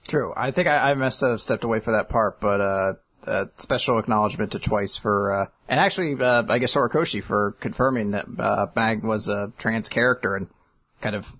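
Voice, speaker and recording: Husky man with offhand asides. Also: on the low side, brisk, fairly bright tone. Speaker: podcast co-host. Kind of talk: casual chat.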